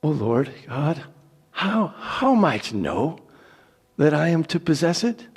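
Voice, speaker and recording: A man's voice: fairly high pitch, very animated, unhurried, slightly rough, in a large hall, voice pushed up, full character voice. A man voicing a childless biblical patriarch who pleads and questions earnestly in a dramatic scripture reading. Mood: anguished pleading.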